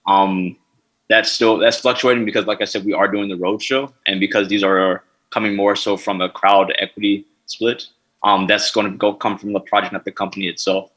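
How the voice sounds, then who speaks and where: Male founder, lowish voice, halting Q&A answers full of fillers. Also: flat delivery, fairly bright tone. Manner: halting, many fillers and restarts. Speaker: startup founder pitching. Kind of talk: answering investor questions.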